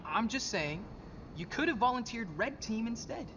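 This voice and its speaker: Male soldier teammate, casual, joking, very animated intonation, dull sound, animated sci-fi film. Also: very high voice, moderate pace, noisy background. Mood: teasing, doubtful. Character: wisecracking, doubting supersoldier teammate.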